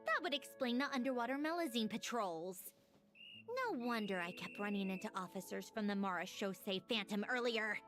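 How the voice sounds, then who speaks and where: Actress as a cool self-assured agent, smug, lively intonation, faint game music. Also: female voice, medium pitch, moderate pace, lightly acted. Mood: explaining. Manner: calm, confident, faintly smug. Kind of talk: game cutscene voice acting.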